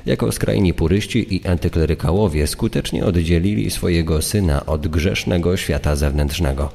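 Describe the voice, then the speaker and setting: A man's voice: deep voice, normal speed, gravelly, a little mellow. A man reading formal scripted documentary narration as a voice-over. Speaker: voice-over reader of quotations. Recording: long reverb.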